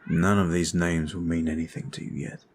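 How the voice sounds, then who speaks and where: A man playing a calm, courteous, protective vampire in an ASMR roleplay, soft-spoken and halting, with formal phrasing. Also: deep voice, a little hiss or hum. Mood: grave, confiding. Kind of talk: ASMR roleplay audio drama.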